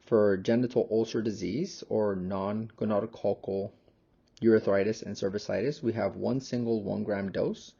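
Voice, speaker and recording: Low, gravelly young man presenting. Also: steady tone, normal speed, mellow, highs cut off. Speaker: pharmacy student presenter. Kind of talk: narrated slide lecture.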